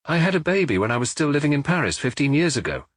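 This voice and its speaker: A hard, unsentimental middle-aged woman, as a male reader puts her on, answering in a raised, lilting voice with a husky edge. She is plainly unbothered, matter-of-fact, and shrugs off whatever she is asked, in a dry-sounding audiobook reading. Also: on the high side, sing-song, fast, no echo, voice pushed up. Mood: blunt. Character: blunt unsentimental middle-aged woman, hairdressing shop owner.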